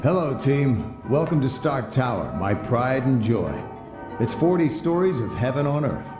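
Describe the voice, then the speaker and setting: A man's voice: mid-range voice, sing-song, a little slow. A man playing a proud, easygoing, self-satisfied billionaire host in a video game cutscene. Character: rich, proud, breezy male billionaire host. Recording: roomy echo, long reverb, music.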